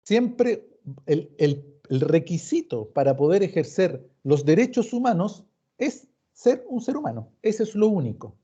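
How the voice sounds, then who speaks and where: A man's voice: high-pitched, sing-song, unhurried, mellow, husky. A man with a Chilean accent lecturing informally as a law professor, didactic and emphatic, often posing questions and repeating words. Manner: didactic, emphatic repetition, acts out questions and answers. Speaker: law professor teaching an online class. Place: recorded online meeting. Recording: dull, muffled online class recording.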